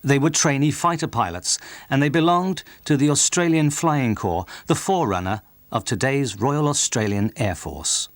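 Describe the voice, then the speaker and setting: A man's voice: mid-range voice, normal speed, few pauses, dry recording. A man reading scripted narration for a documentary as its narrator.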